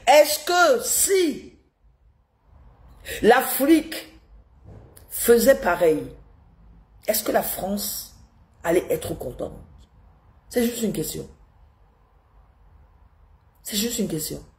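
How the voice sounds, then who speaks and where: A woman's voice: a little high, darkish tone. A woman with a head cold ranting live to her viewers, indignant and scolding, repeating rhetorical questions. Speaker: live streamer commenting on politics. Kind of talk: live-stream rant to viewers.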